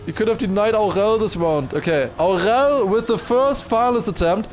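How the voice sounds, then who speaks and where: A man livestreaming excited esports commentary mixed with casual chat, raising his voice to a shout at big moments. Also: sits high, normal speed, slight background noise. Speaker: esports watchparty streamer and commentator.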